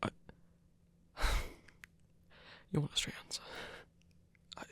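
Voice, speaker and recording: An actor playing a shy, insecure werewolf hunter in an intimate ASMR romance, stammering in a breathy, gravelly voice. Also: slow pace, fairly bright tone. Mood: nervous, flustered. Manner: hesitant, stammering, self-doubting.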